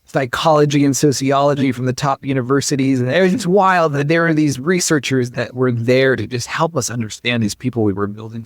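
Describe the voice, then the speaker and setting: A man's voice: mid-range voice, no room sound. Guy founder answering interview questions, emphatic n chatty, lots of like and you know, really dry audio. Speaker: company founder and chief executive, interview guest. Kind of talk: conversational interview answers, explaining.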